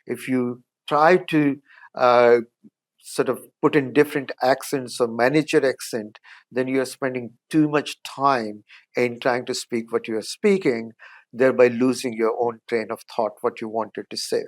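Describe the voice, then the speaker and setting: A middle-aged man with an Indian accent speaks as a professor in an interview. He explains at a measured pace, stopping often with small hesitations. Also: mid-range voice, fairly even intonation. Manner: measured, explanatory, frequent "uh" pauses. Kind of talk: interview answers.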